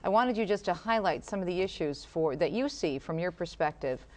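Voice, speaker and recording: A woman co-hosting a talk show, briskly steering the conversation and introducing segments. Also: on the low side, expressive, a little mellow. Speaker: co-host.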